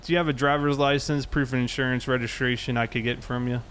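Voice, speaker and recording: A man's voice: medium pitch, flat delivery, fast, fairly bright tone, clean tone. A man in casual police roleplay, talking in a conversational, explanatory way. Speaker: player roleplaying a police officer. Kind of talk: casual roleplay narration and dialogue.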